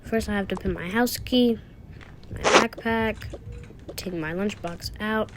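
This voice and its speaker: A woman's voice: medium pitch, a little slow, noisy background. A woman casually improvising narration for a toy-app roleplay video, thinking aloud through each small action. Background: music.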